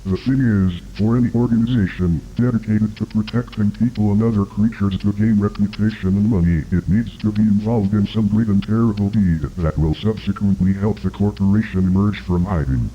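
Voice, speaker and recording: A man's voice: on the low side, steady tone, brisk, few pauses, highs cut off, slight background noise, close to an everyday voice. A man playing an evasive, guarded agency commander in a scripted horror interview, speaking formally. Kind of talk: scripted analog horror dialogue.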